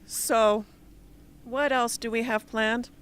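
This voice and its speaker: A woman's voice: on the high side, steady tone, takes it slowly, fairly bright tone, clean tone. A woman playing a stern, formal rebel leader with an authoritative manner in an amateur radio drama. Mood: cool, questioning.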